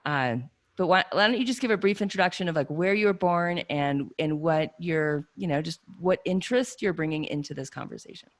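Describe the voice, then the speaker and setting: A woman with a low voice hosts a casual podcast conversation. She wanders through her thoughts, restarting phrases and filling gaps with "like" and "you know.". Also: fairly quick. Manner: rambling, with frequent restarts and fillers. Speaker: podcast host.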